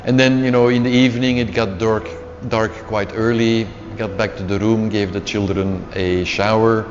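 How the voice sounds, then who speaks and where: Dutch-speaking man, low voice, long reverb. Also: steady tone, slight background noise. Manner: halting, repeats words and restarts. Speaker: author. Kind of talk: casual spoken account. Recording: dull sound with long reverb and faint music.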